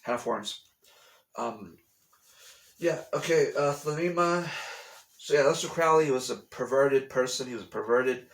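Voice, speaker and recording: A man's voice: medium pitch, moderate pace, pauses often. Rambling man talking to camera at home. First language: English. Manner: rambling, repeats phrases often; blunt, dismissive of conspiracy theories. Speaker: vlogger. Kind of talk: casual explanatory monologue. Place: at home, room with a TV.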